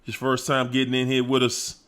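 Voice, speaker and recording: A man's voice: mid-range voice, monotone, moderate pace, fairly bright tone, smooth. A man hosting a casual livestream, greeting viewers by name in a playful, hyped-up way and singing little snippets. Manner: playful, hypes up chat, sings snippets and laughs. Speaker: livestream host.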